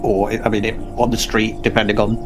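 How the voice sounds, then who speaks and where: A man's voice: lowish voice, little pitch movement, a little fast, over music. A man playing a tabletop roleplaying game, talking casually in and out of character. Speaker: player.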